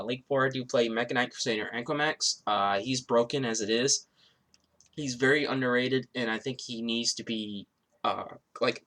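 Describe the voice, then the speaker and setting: A man's voice: mid-range voice, sharp tone, a little hiss or hum. A man who is a little sick, with a stuffy nose and sore throat, casually walking through a card game deck, rambling with lots of fillers. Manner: rambling, filler-heavy, restarts often.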